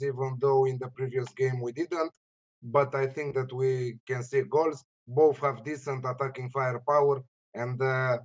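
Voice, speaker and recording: A man giving casual, opinionated betting analysis in non-native English, emphatic and prone to repeating himself. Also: medium pitch. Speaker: sports betting pundit. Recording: slightly dull lo-fi audio.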